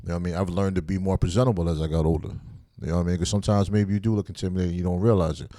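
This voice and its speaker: Low, dark-voiced man talking fast. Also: steady tone, dry recording, clean studio sound. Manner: laid-back, streetwise, leans on "you know what I'm saying". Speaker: podcast co-host. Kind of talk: casual podcast chat.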